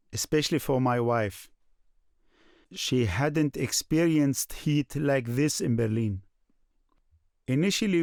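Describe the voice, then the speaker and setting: A man's voice: medium pitch, no echo, clean studio sound, lightly acted. A man voicing a Syrian husband and father recalling family life in scripted first-person narration.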